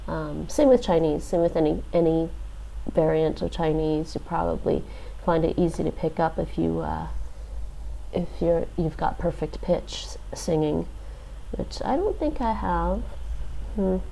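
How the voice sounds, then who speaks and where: A woman streamer thinking aloud as she works through a lesson, hesitant, with many fillers and restarts, relaxed and self-deprecating. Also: low voice, unhurried, gravelly, a little hiss or hum, poor audio. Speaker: live streamer. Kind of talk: thinking aloud while doing a language app.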